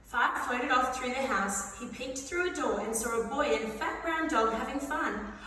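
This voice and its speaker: Female storybook reader for young children, high-pitched and expressive, heard from off in a reverberant room. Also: normal speed. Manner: expressive, acts out dialogue. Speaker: preschool director reading a storybook aloud. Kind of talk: animated children's story reading. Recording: very echoey hall, distant mic, faint noise.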